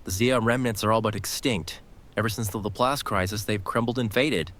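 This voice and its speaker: A man's voice: fairly low pitch, brisk, fairly bright tone, close to an everyday voice. A man voicing a bitter, guarded male veteran in a fan audio drama, curt and resentful.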